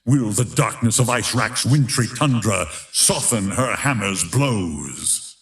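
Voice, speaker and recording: A man playing a grandiose, menacing tournament announcer in a video game, narrating in a theatrical, emphatic, drawn-out style. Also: on the low side, fairly bright tone, echoey room. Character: grandiose, menacing male fighting-tournament announcer of epic legend. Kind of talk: dramatic scripted fighting-game narration.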